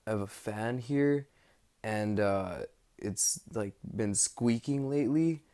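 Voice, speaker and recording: A young American man recording a casual, rambling spoken diary, pausing often mid-thought as he searches for words. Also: fairly low pitch, mellow. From United States. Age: young adult. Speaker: study-abroad student keeping an audio log.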